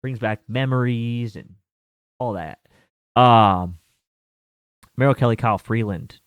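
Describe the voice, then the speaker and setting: A man's voice: mid-range voice, slow, clear, resonant tone, no echo, studio quality. A man around thirty hosting a sports betting show, talking in a rambling, casual way with many fillers and some mild swearing. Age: 30s. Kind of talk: casual chat.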